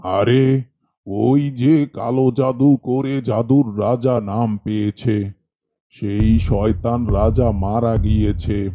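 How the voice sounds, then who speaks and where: A man voicing a scornful, plain-spoken old village father in an animated horror story. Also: mid-range voice, slow pace, no room sound, pitched below the performer's own voice, lightly acted.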